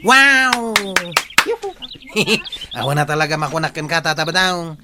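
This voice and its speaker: A man's voice: fairly high pitch, lively intonation, measured pace. A man playing a chatty, joking villager in an Ilocano radio comedy, with an Ilocano Filipino accent. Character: chatty, joking male villager helping at harvest. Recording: noisy track with chirping birds.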